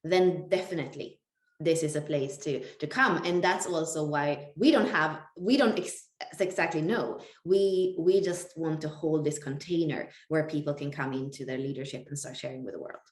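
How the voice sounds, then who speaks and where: A woman's voice: deep voice, normal speed. A woman co-hosting a live webinar, talking casually and reflectively, with a roomy echo around her voice. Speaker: webinar co-host. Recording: roomy, echoing sound.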